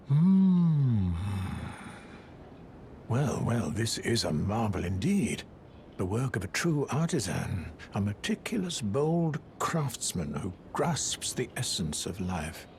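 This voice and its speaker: An actor playing an eccentric, shrewd scholarly sage in a video game, musing in theatrical, drawn-out phrases. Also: male voice, fairly low pitch, noisy background, full character voice. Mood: admiring, musing.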